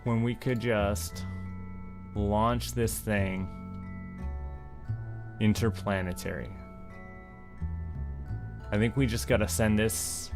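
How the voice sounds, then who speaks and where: A Canadian man talks in a fairly low voice at a very unhurried pace, with music playing behind him. He sounds like a game streamer musing to himself and thinking out loud as he plays. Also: steady tone. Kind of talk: casual solo gameplay commentary, thinking aloud.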